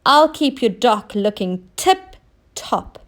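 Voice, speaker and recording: A woman voicing an excitable, eager young male dock helper in a children's storybook, calling out in exclamations. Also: a little high, slow. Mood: cheerful, confident.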